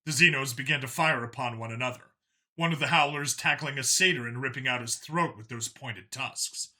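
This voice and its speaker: A man's voice: medium pitch, brisk, sharp tone. A man narrating a scripted action story in a dramatic style.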